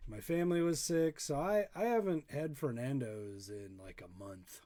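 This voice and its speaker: A man's voice: medium pitch, normal speed, warm tone. A man hosting a casual online storytime for kids, chatting in a rambling, folksy, playful way. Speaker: storytime host, local dad.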